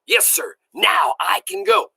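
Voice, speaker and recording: Man playing old country grandfather, storybook character, excitable, folksy, emphatic, bright voice, very varied pitch. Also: normal speed. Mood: excited, shouting. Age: older. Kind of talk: storybook read-aloud.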